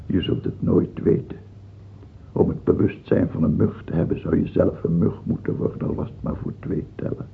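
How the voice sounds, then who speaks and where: An actor playing a dying, tyrannical king of France in a radio drama, fearful and halting, then imperious. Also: sits low, flat delivery, vocal fry, slight background noise. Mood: brooding. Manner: halting and stammering, turning imperious. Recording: muffled, tinny old radio-play recording.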